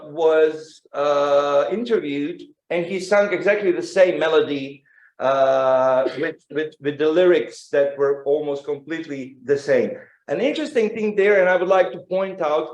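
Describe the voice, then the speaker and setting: A man gives an academic presentation in a halting, conversational manner, repeating words as he goes. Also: on the high side, darkish tone, highs cut off. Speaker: symposium speaker, music researcher. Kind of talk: academic presentation with informal asides. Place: indoor symposium room. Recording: roomy, echoing live talk.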